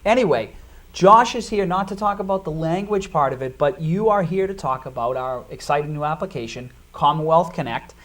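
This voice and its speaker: A middle-aged Boston man hosts a local community talk show, his voice sitting a little high for a man. He keeps talking through plenty of ums, uhs and restarts. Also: animated, fairly quick, fairly bright tone. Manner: chatty, many fillers and restarts. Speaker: host of local cable show.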